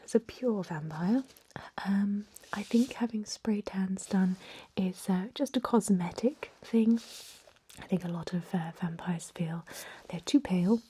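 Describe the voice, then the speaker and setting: A woman voicing a kindly, chatty old vampire medic in a gentle, breathy whisper for an ASMR role-play. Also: mid-range voice. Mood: musing, chatty. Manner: gentle, rambling, reassuring bedside talk. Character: kindly, chatty, aged female vampire medic, pureblood purist.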